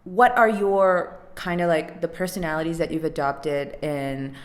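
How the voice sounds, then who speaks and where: A woman hosting a podcast interview, relaxed and encouraging with lots of listening sounds. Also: sits low, measured pace. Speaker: podcast host. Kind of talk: casual interview.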